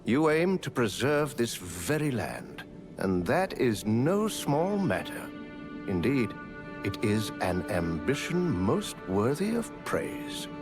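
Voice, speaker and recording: An actor playing a humble machine attendant in a video game, polite and formal like a butler. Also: male voice, medium pitch, sing-song, slow, over music. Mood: courteous, humble. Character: humble, courteous war-machine construct turned housekeeping attendant. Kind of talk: scripted video game dialogue.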